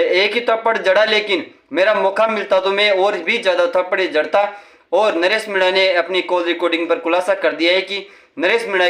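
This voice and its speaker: A man presenting improvised news commentary, halting often as he searches for words. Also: high-pitched, fairly bright tone, reverberant. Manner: halting, with frequent hesitations.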